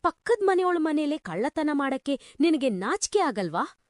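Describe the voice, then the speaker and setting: A woman's voice: sits high, sing-song, normal speed, dry recording, clean studio sound. A woman voicing an indignant, scolding village woman in a cartoon story. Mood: outraged.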